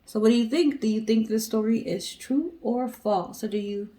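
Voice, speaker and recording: A woman's voice: on the high side, moderate pace, warm tone, rough recording. A woman with an American accent co-hosting a storytelling show, talking casually to viewers and recounting stories. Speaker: co-host of a storytelling guessing show.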